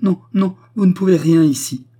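A man's voice: fairly low pitch, normal speed, warm tone, breathy edge. A man voicing a proud, distraught noblewoman, a widow who complains in haughty, tearful exclamations, as in an audiobook. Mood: agitated.

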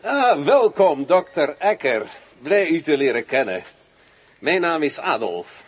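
An actor playing a wary, suave general in a radio drama, speaking in a theatrical, insinuating way. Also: male voice, fairly high pitch, big pitch swings, unhurried. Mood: jovial, welcoming. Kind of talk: Dutch radio drama acting. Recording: noisy old radio drama recording.

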